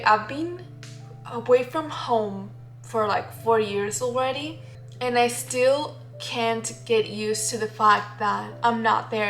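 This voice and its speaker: A woman's voice: a little high, fairly bright tone. A young woman from the Dominican Republic, talking candidly and laughing often as she shares her painting process in a casual vlog. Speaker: painting vlogger. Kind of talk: casual reflective vlog narration. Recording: light music behind.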